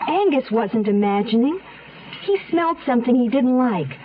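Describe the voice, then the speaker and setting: An actress playing a suspicious, anxious, determined young granddaughter in a radio drama. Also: female voice, on the high side, expressive, moderate pace, close to an everyday voice. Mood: suspicious, uneasy. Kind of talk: 1970s radio drama acting. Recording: tinny, band-limited old radio recording, hissy.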